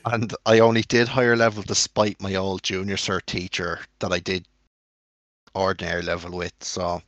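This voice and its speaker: A young Irish man with a low voice keeps a casual podcast moving along. He is relaxed and conversational. The sound is dry and close to the mic. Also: normal speed, no echo. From Ireland. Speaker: podcast co-host leading the segments. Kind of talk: casual chat.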